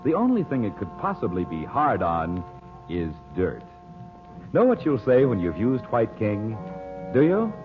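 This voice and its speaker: A dull vintage radio recording with music playing behind a male announcer. He is polished and coaxing, swinging his pitch in an animated way as he sells to the listener. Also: measured pace.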